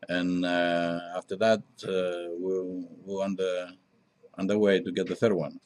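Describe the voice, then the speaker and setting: A middle-aged man from Greece with a Greek accent answers interview questions conversationally, in halting non-native English with frequent pauses. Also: low voice, level tone, darkish tone. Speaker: interview guest, diner owner.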